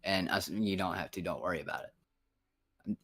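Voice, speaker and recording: A man's voice: deep voice, moderate pace, a bit bright. A man in his thirties in a casual voice chat, rambling and frank, swearing often. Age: 30s.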